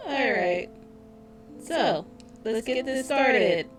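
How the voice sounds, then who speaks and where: A woman's voice: high-pitched, expressive, drawn-out words. A woman chatting casually and informally as she hosts a game live stream, often repeating her phrases. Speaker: streamer hosting a visual novel playthrough. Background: music behind the voice.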